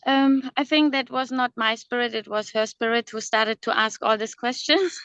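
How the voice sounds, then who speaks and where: A woman's voice: on the high side, moderate pace, a bit bright, smooth, no echo. A woman in her thirties, born in Slovakia and raised in Germany, speaking English as an on a video call, hesitant and laughing often. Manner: non-native phrasing, hesitant, laughs often. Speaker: guest, worldschooling mother. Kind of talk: casual video-call chat.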